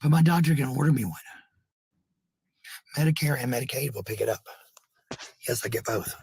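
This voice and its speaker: A man with a raspy, gravelly voice in casual, rambling chat, blunt and swearing freely. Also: mid-range voice, fairly quick, darkish tone, a little hiss or hum.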